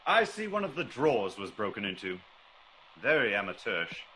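Classic radio detective: probing, theatrical, commanding, animated delivery, slight noise on an old recording. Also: male voice, fairly low pitch, moderate pace, low-quality recording. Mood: inquisitive. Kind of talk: classic radio drama acting.

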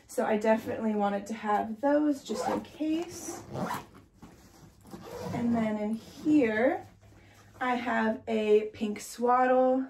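A woman's voice: fairly high pitch, vocal fry, darkish tone. A young woman in her twenties, congested and chatty, talking to camera in a nursery room. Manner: chatty, with many "like"s and light laughs. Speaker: vlogger. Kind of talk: casual chat to camera.

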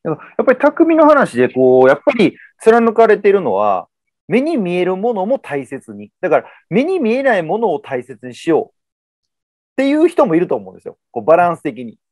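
A man with a Kansai accent hosting a casual video-call interview, summing up ideas in a relaxed way and gesturing as he talks. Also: sits high, brisk, dry recording, clean studio sound. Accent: Kansai Japanese. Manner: relaxed, summarizing, gestures while talking. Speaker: host. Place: indoors at home.

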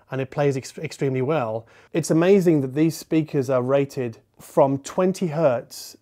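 A man's voice: mid-range voice, dark tone. A man in his forties explaining a product to camera as a reviewer, step by step and in informal phrasing. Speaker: audio reviewer, presenter. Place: medium living-room listening space, indoors.

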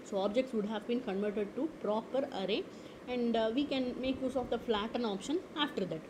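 A woman teaching a screen-recorded software lesson, explaining step by step with frequent "okay" and "uh" fillers. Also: a little high, fairly quick, background noise. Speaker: tutorial instructor. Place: at a computer.

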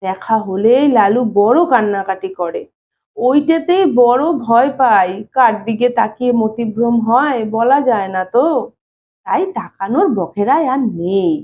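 A shy, stubborn young bachelor read by a woman in an audio story. He speaks plainly and evasively in a high voice. The recording is narrow and phone-like. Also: unhurried, a little hiss or hum, close to an everyday voice. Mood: wary. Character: shy, stubborn young bachelor brother-in-law, reluctant to marry. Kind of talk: dramatized audiobook reading. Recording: thin phone-like narrow-band sound.

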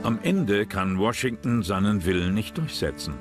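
A man's voice: fairly low pitch, expressive, normal speed. A man reading scripted narration for a history documentary. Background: background music.

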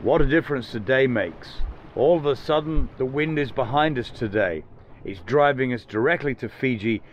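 A man's voice: medium pitch, moderate pace. An older man sailing alone, talking casually to camera from a boat's cockpit at sea, rambling plainly with many restarts. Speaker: solo sailor vlogger. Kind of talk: casual vlog commentary. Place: outdoors in the cockpit of a sailboat at sea. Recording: muffled camera audio with loud wind and sea noise.

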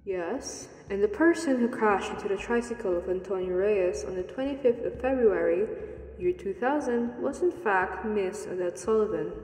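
A composed, stern judge played by a woman in a radio drama. She speaks formally in a mellow voice. Her words echo with long reverb. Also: mid-range voice, natural voice. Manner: formal, measured. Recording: echoey, long hall reverb.

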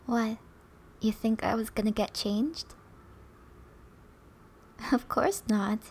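A woman playing a flirty, teasing, affectionate wife in an ASMR roleplay, soft and often breathy, with playful, intimate delivery. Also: fairly high pitch, slow pace, a little hiss or hum. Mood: sultry.

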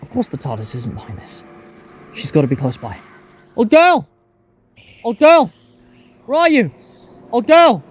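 A man's voice: very high voice, wildly swooping pitch, measured pace, pauses often, husky, heavily acted. A man playing an anxious, searching traveller who talks urgently to himself in a fan audio drama. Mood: uneasy, puzzled.

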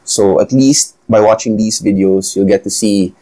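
Solo podcast host: Filipino-accented man, 30s, slightly low voice, reflective and chatty, lapel mic in a small room. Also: moderate pace, warm tone. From Philippines. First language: Bisaya. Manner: reflective, conversational, addresses viewers as guys. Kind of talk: solo talk to camera. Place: small indoor room at a desk.

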